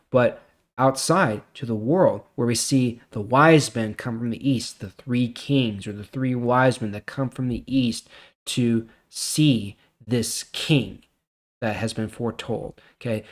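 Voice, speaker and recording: A man with a medium-pitched voice hosts a Bible reading series. He reads scripture and explains it in plain, informal terms, leaning on key words as he goes. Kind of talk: scripture reading with casual commentary.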